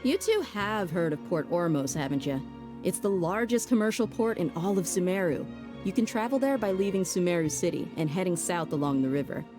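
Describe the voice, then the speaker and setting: An actress voicing a tough female mercenary bodyguard who is blunt and casual but soft at heart, in a game cutscene. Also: on the low side, expressive, fairly quick, music in the background, lightly acted. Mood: explaining. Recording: game score softly behind.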